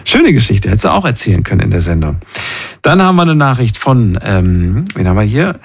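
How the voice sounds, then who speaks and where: A man's voice: medium pitch, gravelly, husky. A man hosting a call-in radio show, chatting informally with listeners and reading out their messages. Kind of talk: casual chat, reading listener messages aloud.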